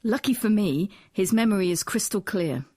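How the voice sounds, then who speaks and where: A woman's voice: mid-range voice, lively intonation, normal speed, mellow, slightly rough, dry recording, studio quality. A woman presenting a history documentary, moving between scripted narration and interview questions.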